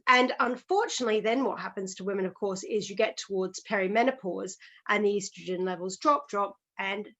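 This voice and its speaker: A middle-aged Australian woman, a physiotherapist guest, explaining step by step in a measured way. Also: medium pitch, fairly bright tone, dull audio. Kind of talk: explanatory interview talk.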